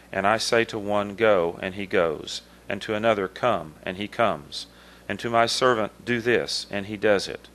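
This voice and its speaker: A man serving as liturgist, reading a Bible passage aloud in a small church in a slow, measured way. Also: fairly low pitch, a bit bright, low-quality recording. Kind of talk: scripture reading. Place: small church sanctuary, at a pulpit.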